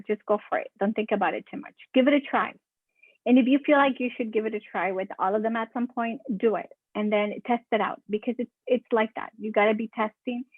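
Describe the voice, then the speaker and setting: A woman's voice: on the high side, no room sound. A woman from California, a marketing consultant answering podcast questions in a chatty way, repeating words for emphasis. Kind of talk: casual interview answers. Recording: dry, tinny phone-like call audio.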